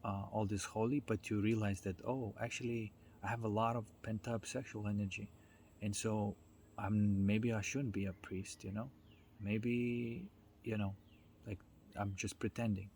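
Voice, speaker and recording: A man giving an informal explanatory monologue, haltingly searching for his words as he goes. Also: fairly low pitch, flat delivery, a little mellow, a little hiss or hum. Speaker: speaker on self-development.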